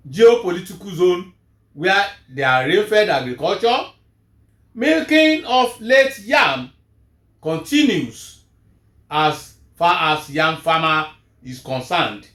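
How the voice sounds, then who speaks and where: A man, a Yoruba speaker, giving a measured, emphatic farm advisory talk as host of a farming show. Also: high-pitched, very animated, fairly bright tone, echoey room. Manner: measured, emphatic, rising then falling at each phrase.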